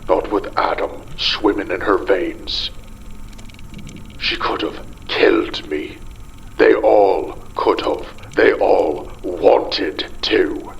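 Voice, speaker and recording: A man playing a desperate, guilt-ridden father losing his mind, in a dramatic horror audio monologue with a gravelly, breathy voice that often drops toward a whisper. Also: low-pitched, lo-fi sound, heavily acted. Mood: desperate, defensive. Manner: slow, brooding, halting delivery in short phrases. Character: desperate, guilt-ridden father turning mad in a ruined city.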